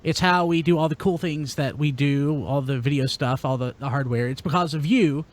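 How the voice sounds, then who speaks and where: A man's voice: on the high side, moderate pace. A man hosting a podcast, chatting casually in a jokey, wry manner. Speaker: podcast host.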